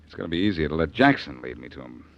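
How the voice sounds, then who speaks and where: A man's voice: very deep voice, animated, very fast, no echo. A man playing a wry, self-assured detective posing as a convict in a 1940s radio crime drama. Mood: confident. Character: wry, cocky male detective undercover as convict. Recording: dull vintage radio recording.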